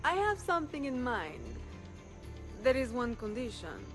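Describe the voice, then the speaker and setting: A woman's voice: a little high, very animated, measured pace, over music. A woman playing a flirtatious, coy Italian noblewoman in a video game, with an Italian accent. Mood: teasing. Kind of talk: video game cutscene acting.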